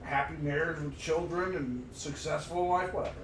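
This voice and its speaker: A man's voice: on the high side, normal speed, fairly bright tone, far from the mic. A man co-hosting a casual podcast, joking and goofily riffing on words. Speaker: podcast co-host. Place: indoor room, recorded live on stream. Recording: echoey room, off-mic, background noise.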